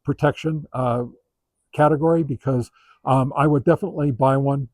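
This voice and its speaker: A man giving a casual product review as a monologue, halting and often pausing mid-sentence. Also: mid-range voice, fairly even intonation, a little mellow, no room sound. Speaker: radio gear reviewer.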